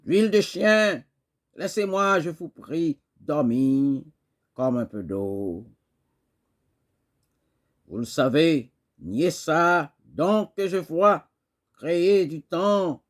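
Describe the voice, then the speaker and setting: A male poet delivers a dramatic recitation in a slightly high voice. His pace is very slow, with emphatic pauses between phrases. The manner is declamatory and impassioned. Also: animated.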